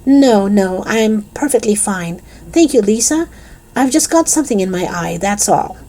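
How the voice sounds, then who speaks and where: A woman narrator voicing an excitable, easily wounded female schoolteacher in an audiobook, theatrical in manner. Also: medium pitch, normal speed, voice pushed up. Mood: wounded, flustered. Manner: declaiming, gushing.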